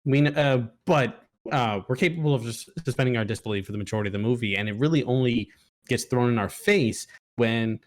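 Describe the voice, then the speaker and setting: A man co-hosting a movie review, talking casually in a halting, rambling way with swearing. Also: mid-range voice. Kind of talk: casual film discussion.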